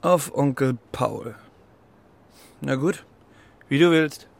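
A man playing an easygoing, teasing pigeon pal in a children's radio play, with gravel in the voice and a clipped, offhand manner. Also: medium pitch, slow. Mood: breezy.

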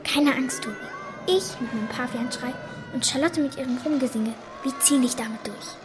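A female performer plays a confident, encouraging schoolchild with a very high voice. The style is children's radio drama, with clear background noise and animal sounds. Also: brisk, close to an everyday voice. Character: plucky, confident schoolchild cheering on scared friends. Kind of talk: children's radio play acting.

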